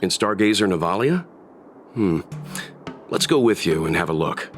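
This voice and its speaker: A man voicing a calm, thoughtful companion in an English video game dub, measured and polite as he gives gentle counsel. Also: sits low. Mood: polite, curious. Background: background music.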